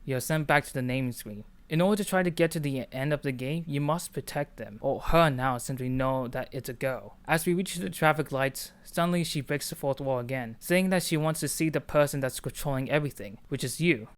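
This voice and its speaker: A man's voice: medium pitch, fairly quick, few pauses, a little hiss or hum. A man narrating a scripted video essay with casual asides, speaking as the essayist. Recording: background music with guitar. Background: music, guitar.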